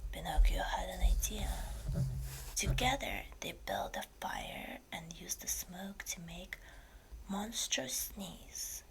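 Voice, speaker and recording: A woman reading a fairytale as ASMR in a breathy whisper, slowly and deliberately. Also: on the low side, very animated, rough recording. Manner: slow, deliberate storytelling delivery. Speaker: ASMR creator and story reader.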